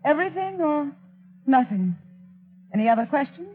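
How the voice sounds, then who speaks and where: An actress playing a tough, accusing young woman with a sharp, defiant manner in an old radio drama. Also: sits high, big pitch swings, moderate pace. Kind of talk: 1940s radio acting. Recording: tinny phone-like old radio recording.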